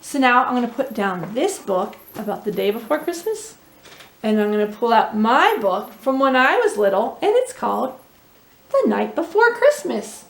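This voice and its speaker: High-voiced woman reading to children, echoey room. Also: moderate pace, creaky. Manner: expressive, addresses young listeners with questions. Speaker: storytime host reading to children. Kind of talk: children's read-aloud storytelling. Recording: roomy, echoey indoor sound.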